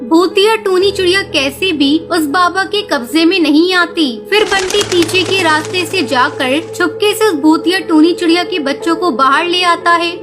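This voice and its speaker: A woman's voice: very high voice, normal speed, few pauses, music in the background. A woman narrating a scripted cartoon story as its storyteller.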